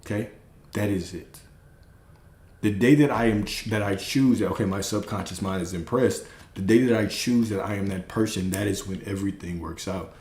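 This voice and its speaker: Deep-voiced man preaching certainty. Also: normal speed, darkish tone, roomy sound. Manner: emphatic, repeats key phrases, asks "right?". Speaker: host of a live stream. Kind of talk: motivational spiritual talk.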